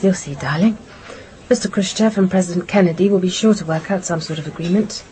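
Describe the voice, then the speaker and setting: An actress in a radio drama playing an anxious English mother who speaks softly and soothingly to reassure. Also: on the low side, a little fast, a little hiss or hum, natural voice, rough recording. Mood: gently reassuring. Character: English mother, anxious but gently reassuring her child.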